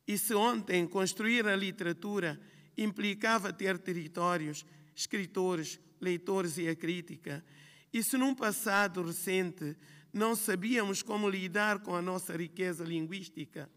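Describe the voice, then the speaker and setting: A woman's voice: on the low side, a bit bright. Guinea-Bissau woman reading a speech in a hall. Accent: Guinea-Bissau Portuguese. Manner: measured, reflective, listing phrases in rhythm. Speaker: writer on a festival panel. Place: large hall at a literary festival. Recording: long hall reverb.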